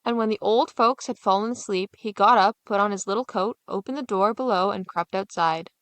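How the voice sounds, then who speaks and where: Lady reading a fairy tale as narrator, normal pitch, no room echo at all. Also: brisk, a bit bright. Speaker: storyteller.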